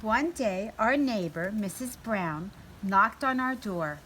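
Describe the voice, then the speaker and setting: A woman narrates a children's picture book with expression, acting out the lines of dialogue as she goes. Her voice sits at a medium pitch, and there is noticeable noise and room ambience behind her. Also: lively intonation, slow, a bit bright. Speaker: story reader. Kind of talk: read-aloud children's storybook narration.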